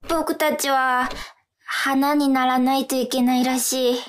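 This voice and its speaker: A woman's voice: sits high, level tone, unhurried, slight background noise. A woman playing a frightened, questioning young flower bud in a whimsical radio drama, with a childlike, plaintive delivery. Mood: bewildered, anxious.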